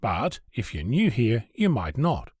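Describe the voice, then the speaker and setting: Male podcast host, animated pitch, scripted essay delivery, conversational, wry, very dry close recording. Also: measured pace, husky, no room sound. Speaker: host of an amateur radio podcast. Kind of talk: scripted spoken essay, narration.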